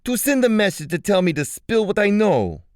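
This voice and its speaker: Shrill frantic snitch, wild swings in pitch, dry close sound. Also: male voice, big pitch swings, moderate pace, dry recording. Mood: agitated. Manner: high-pitched, snapping, stammering. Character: panicky, indignant male informant.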